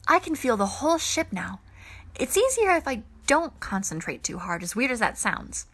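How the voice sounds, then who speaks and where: Woman voicing a clever bright-voiced teenage girl. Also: on the high side, big pitch swings, moderate pace, voice pushed up. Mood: earnest. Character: clever, curious teenage girl tech whiz.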